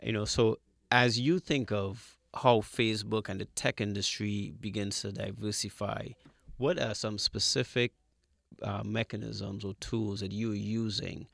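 Male radio host, low creaky voice, close dry sound, formal and deliberate interview delivery. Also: fairly bright tone, no room sound. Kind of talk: measured interview questioning.

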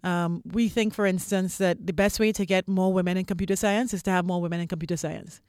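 A woman's voice: fairly low pitch, fairly even intonation, brisk, a little mellow, clear, resonant tone, dry recording. Emphatic woman from Trinidad, dry close sound. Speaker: interview guest, corporate diversity executive. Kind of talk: articulate, explanatory interview talk.

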